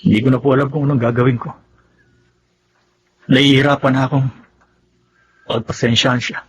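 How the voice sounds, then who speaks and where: A man's voice: mid-range voice, level tone, fairly quick, slight background noise, lightly acted, low-quality recording. An actor playing a hurt, betrayed husband and devoted father in an emotional Tagalog radio drama style. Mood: dejected. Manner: emotional, anguished delivery.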